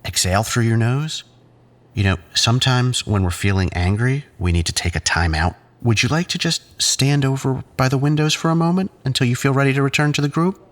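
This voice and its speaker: A male actor playing a condescending, controlling psychiatrist who talks in patronizing, measured therapy-speak in an audio drama. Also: mid-range voice, natural voice. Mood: falsely soothing.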